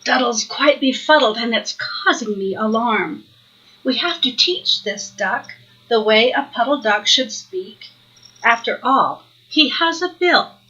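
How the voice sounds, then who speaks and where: A woman's voice: a little high, expressive, a little slow, pushed and bright, highs cut off. Woman doing a bossy, squawky farmyard bird. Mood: bossy, alarmed. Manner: squawky, lecturing.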